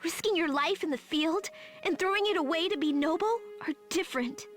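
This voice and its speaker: An actress playing a teenage girl army medic in a video game, earnest, high-strung and tearful. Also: fairly quick, soft background music. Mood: sincere, subdued. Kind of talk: dramatic video game voice acting.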